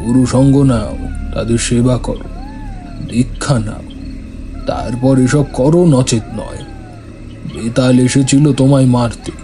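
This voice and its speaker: A man's voice: mid-range voice, huge pitch swings, measured pace, pauses often, warm tone, soft background music. A man playing a stern, authoritative tantric holy man who admonishes formally in a horror audio drama. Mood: stern, admonishing.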